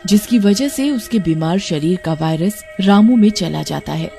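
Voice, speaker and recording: A woman giving scripted storytelling narration for a cartoon, in a mellow voice. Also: medium pitch, lively intonation, normal speed, gravelly, music in the background.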